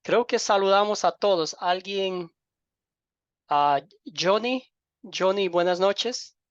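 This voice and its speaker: Over a muffled, dry online call, a middle-aged man with an Ecuadorian accent speaks as a pastor to his class. His delivery is informal and halting, with repeated words and affectionate diminutives. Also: high-pitched, clear, resonant tone, no room sound. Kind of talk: informal welcome and self-introduction to a class. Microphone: online group call microphone. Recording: dull lo-fi online call audio.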